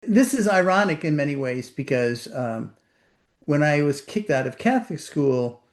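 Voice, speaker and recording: An older author with a Puerto Rican accent, answering interview questions in a thoughtful, measured way. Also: male voice, fairly high pitch, lively intonation. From Puerto Rico. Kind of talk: reflective conversation.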